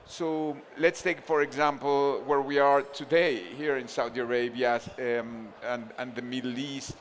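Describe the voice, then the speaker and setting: A man's voice: mid-range voice, steady tone, unhurried, a little hiss or hum. Man from Argentina on a conference panel. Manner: thoughtful, frequent restarts and fillers.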